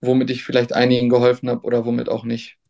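A young man, a substitute teacher, answering interview questions in a hesitant, thoughtful way with many pauses and restarts. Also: medium pitch, monotone, gravelly, darkish tone, highs cut off.

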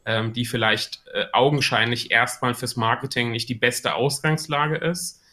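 A man's voice: medium pitch, steady tone, moderate pace, bright, forceful tone. A man with a Hessian accent, a podcast guest talking about marketing in a thoughtful way with many filler sounds. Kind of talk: casual podcast interview.

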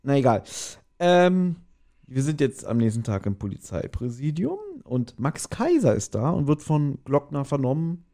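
A man's voice: medium pitch, sing-song, moderate pace, dark tone. A man with a mid-range voice talks casually as a podcast host. He retells an audio drama in slangy, colloquial speech, slipping into quoted lines as he goes.

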